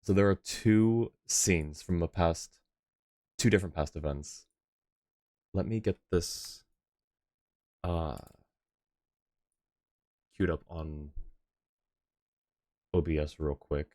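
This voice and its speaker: A man's voice: sits low, takes it slowly, pauses often, mellow, dry recording, clean studio sound. A man casually chatting and commentating on a game livestream, thinking aloud in a rambling way. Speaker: game streamer and host. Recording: music playing behind the voice. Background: game music.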